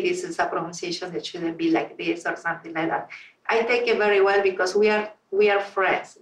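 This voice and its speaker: Peruvian lady, spanish accent, earnest club talk, kinda far off in a roomy space. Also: mid-range voice. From Peru. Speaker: club member. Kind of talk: informal speech to a club meeting. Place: meeting room. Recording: roomy sound from a distant mic.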